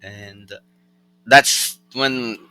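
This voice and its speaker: A young man with a Norwegian accent, a rapper being interviewed, chatting in a relaxed, rambling way with lots of fillers. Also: medium pitch, very slow, smooth, dry recording, soft background music. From Norway. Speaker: rapper guest. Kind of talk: casual conversational interview.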